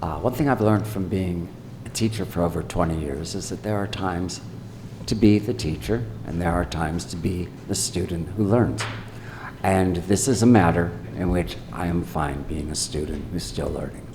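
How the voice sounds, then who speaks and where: A man running for city council, losing his voice, giving a brief soft answer. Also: sits low, normal speed, noisy background.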